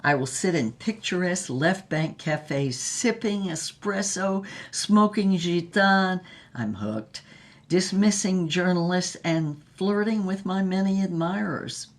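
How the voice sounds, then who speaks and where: Slow, low-voiced theatrical film diva. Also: female voice, expressive. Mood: indulgent, playful. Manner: grandiose, languid, theatrical delivery with drawn-out words. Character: Mynx Devlin, grandiose theatrical female film star reminiscing. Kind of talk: dramatic audio fiction monologue.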